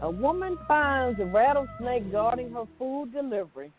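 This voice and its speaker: A woman's voice: fairly high pitch, lively intonation, normal speed, soft background music. Casual woman co-host, thin phone-like audio. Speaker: talk show co-host presenting weird news. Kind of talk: casual news reading with banter.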